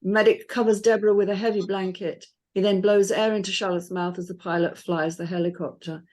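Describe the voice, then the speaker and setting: A woman's voice: mid-range voice, fairly even intonation, a little fast, darkish tone. A woman reading out stage directions as scripted narration.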